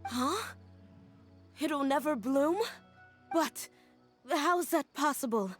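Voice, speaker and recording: A woman's voice: high-pitched, slow, fairly bright tone, soft background music. A woman voicing an earnest young female student in a video game, telling her story in a plain, heartfelt way. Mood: shocked.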